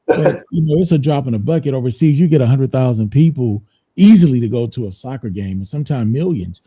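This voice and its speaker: A laid-back man hosts an interview, chatting loosely and circling back on his words. His voice is deeply dark and mellow, with a middle pitch. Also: lively intonation, quick pace, slightly rough. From United States. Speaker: podcast host. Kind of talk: casual interview chat.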